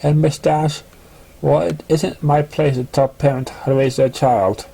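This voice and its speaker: A man's voice: a little high, flat delivery, normal speed, vocal fry, dark tone, slightly rough. A man voicing a stern but fair schoolteacher pony in a formal, lecturing manner.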